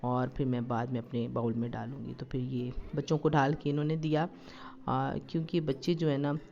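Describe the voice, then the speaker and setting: A woman's voice: rumbling low, flat delivery, moderate pace, gravelly, mellow. Chatty woman narrating a family vlog. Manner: chatty and homely, with frequent fillers. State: slightly sore throat. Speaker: family lifestyle vlogger. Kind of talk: casual vlog voice-over narration. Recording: slightly dull lo-fi recording.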